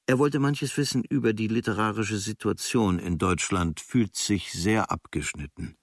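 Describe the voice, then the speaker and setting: A man playing an observant writer, reading his travel diary as a radio drama in measured, clipped literary phrases. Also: fairly low pitch, no room sound, clean studio sound. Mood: measured, reflective.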